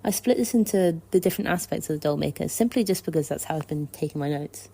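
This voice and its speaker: Woman, mellow warm voice, slightly low, measured, articulate, scripted fan commentary. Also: creaky. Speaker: listener contributor sending a voice message.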